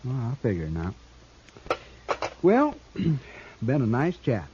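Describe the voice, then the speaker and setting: A man's voice: mid-range voice, huge pitch swings, slow. A man playing a brash, scheming outlaw in an old radio western, with a sly, taunting, theatrical delivery. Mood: sly, bitter. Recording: muffled old radio recording with faint noise.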